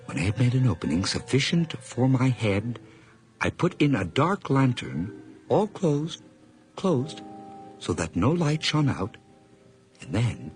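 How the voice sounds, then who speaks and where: An actor playing an obsessive hired man who calmly plots a murder in a radio drama, speaking in intense, measured tones that drop to whispers. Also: on the low side, full character voice. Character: obsessive young hired man, calm but unhinged narrator. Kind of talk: 1980s radio drama acting. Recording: vintage radio broadcast with faint music.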